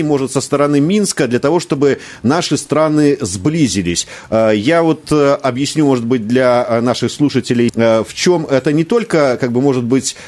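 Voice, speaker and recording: A man interviewing a guest on radio, asking long questions filled with pauses and fillers. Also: mid-range voice, darkish tone. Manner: drawn-out questions with many fillers.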